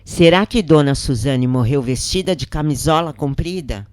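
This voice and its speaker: A woman's voice: low voice, normal speed, darkish tone, dry recording, studio quality, close to an everyday voice. A woman with a Brazilian accent voicing a patient, earnest female prisoner in an audiobook story. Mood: gently probing. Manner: earnest, explanatory. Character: imprisoned woman counselor Joana, grave, patient, confiding.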